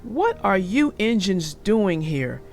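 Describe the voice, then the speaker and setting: A woman's voice: medium pitch, big pitch swings, slow, soft background music. A woman voicing a grumpy, haughty male engine who snaps and scorns in a storybook reading. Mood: cross. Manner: snappish, scornful.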